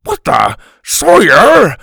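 Man voicing an excitable, flustered old grandpa. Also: sits high, very slow, creaky, slight background noise, pitched above the performer's own voice, heavily acted. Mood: shocked. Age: older. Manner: flustered, exclamatory.

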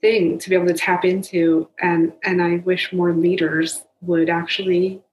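A woman's voice: lowish voice, little pitch movement, moderate pace, a little mellow. A woman hosting a podcast, encouraging her guest and asking short questions. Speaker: podcast host. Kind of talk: interviewing a guest. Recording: echoey room, faint background noise.

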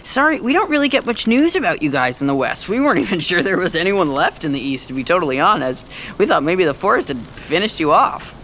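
A man's voice: sits high, very animated, fast, sharp tone, a little hiss or hum, exaggerated voice. A man playing a nervous, chatty young American bluffing as a thief in a fiction podcast, rambling and stammering as he tries to please. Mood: nervously flattering. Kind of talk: fiction podcast acting, casual modern speech.

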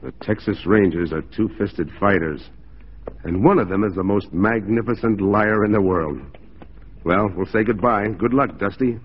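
An actor playing a proud, upright mounted police officer with a firm, plain-spoken manner in a 1940s radio drama. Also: male voice, fairly low pitch, normal speed, slightly rough. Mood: contrite, gracious. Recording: muffled, noisy 1940s radio recording.

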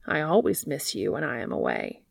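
A woman voicing an earnest, devoted male bee in a storybook, speaking softly, tenderly and deliberately. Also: very deep voice, huge pitch swings, gravelly, breathy edge, dry recording, pitched below the performer's own voice, full character voice. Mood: fond, teasing. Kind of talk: storybook character reading.

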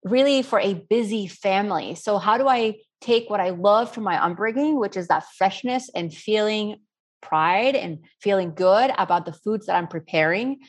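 A woman's voice: medium pitch, moderate pace. A middle-aged woman from Spain answering interview questions in an earnest, rambling, conversational way. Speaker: interview guest, self-taught cook.

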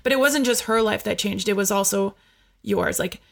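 A woman's voice: mid-range voice, moderate pace, gravelly. Woman chatting supportively, full of fillers. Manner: supportive, rambling with many fillers and restarts. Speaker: podcast host and former coach. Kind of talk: casual conversation.

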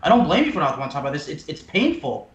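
A man's voice: fairly high pitch, very animated, very fast, echoey room, dull audio. A man from Texas with a Texan accent, co-hosting a podcast and chatting sympathetically. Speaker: podcast co-host. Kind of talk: casual interview chat.

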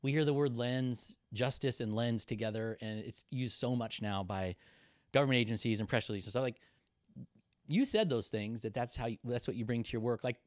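Male host, mid pitch, dry close mic; searching, filler-heavy question style. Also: moderate pace, no room sound. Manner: thoughtful, searching phrasing with many fillers. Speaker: podcast host. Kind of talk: conversational interview.

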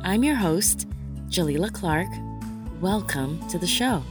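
A woman's voice: on the low side, lively intonation, unhurried, music in the background. A woman hosting a podcast, reading her show intro and then asking her guest questions in an encouraging way. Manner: encouraging, with many short "mm-hmm" replies. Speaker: podcast host.

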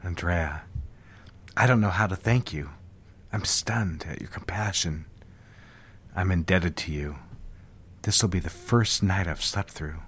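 An actor playing an earnest, formal businessman in an audio drama, a man with a husky voice. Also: low voice, unhurried, long pauses, a bit bright, full character voice. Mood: grateful. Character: businessman courting his secretary, earnest, turning curt when rebuffed. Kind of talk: dramatic audio fiction phone dialogue.

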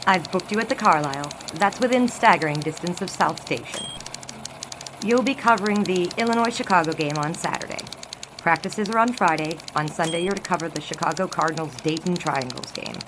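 Casting: female actor as sardonic 1920s newsroom secretary, lowish voice, dry and efficient, audio drama with noisy office behind. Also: fairly quick, natural voice. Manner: dry, clipped, sarcastic, efficient. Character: brisk, sardonic 1920s newspaper office secretary, long-suffering. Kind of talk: scripted period audio drama dialogue.

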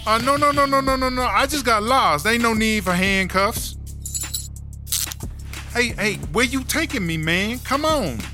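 A brash female inmate in a comedy audio drama. The voice sits high and drawls through slangy, melodramatic grumbles and exclamations. Music plays clearly underneath. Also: measured pace. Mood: pleading, indignant. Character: Chartreuse, brash streetwise female prison inmate, foul-mouthed, dramatic.

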